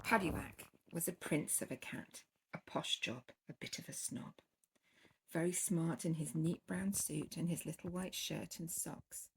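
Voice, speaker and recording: A woman's voice: low-pitched, a little slow, creaky, background noise. A woman reading a children's bedtime story expressively, drawing out key words with theatrical emphasis.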